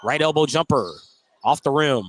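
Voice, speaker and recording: An American man works as a basketball play-by-play announcer on radio. His voice sits a little high for a man. He describes the action in short, clipped running phrases. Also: moderate pace, a little hiss or hum. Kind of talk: live radio basketball commentary.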